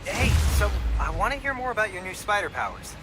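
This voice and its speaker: An actor playing an eager young male best friend who talks in a chatty, excitable way over the phone. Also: high-pitched, brisk, noisy background. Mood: excited.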